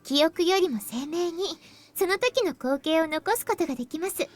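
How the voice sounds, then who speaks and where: A high, girlish voice for a visual novel. She is polite and composed, with a formal, earnest delivery. Light music sits behind her. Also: animated, fast. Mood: earnest, assured. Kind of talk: anime visual novel acting.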